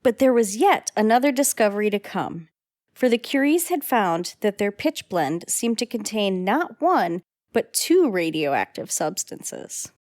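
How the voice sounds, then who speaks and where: A woman narrates an old-time radio drama with a scripted, dramatic delivery. Her voice is medium in pitch, telling the story as a narrator. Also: big pitch swings, a little slow, a little mellow.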